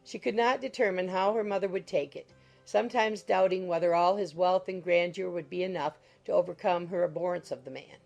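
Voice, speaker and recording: A woman's voice: lowish voice, a little fast, creaky, a little mellow, faint music behind. A woman narrating a classic novel as a scripted audiobook.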